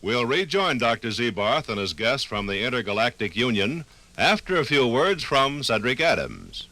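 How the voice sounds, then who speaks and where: A man narrating a scripted 1950s radio documentary in a measured, formal, weighty manner. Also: mid-range voice, big pitch swings, pushed and bright, faint music behind.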